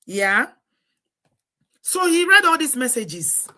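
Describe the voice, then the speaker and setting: Nigerian lady live streaming, laughs a lot, bright punchy voice. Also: high-pitched, very animated, takes it slowly. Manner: chatty, laughs often, exclamatory. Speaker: host. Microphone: live stream setup.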